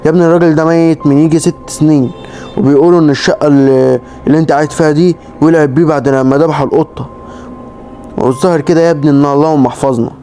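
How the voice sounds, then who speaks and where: A man's voice: a little high, fairly even intonation, rapid-fire, warm tone, soft background music, lightly acted. A man with an Egyptian Arabic accent voicing a worried older male passerby who gives urgent warnings.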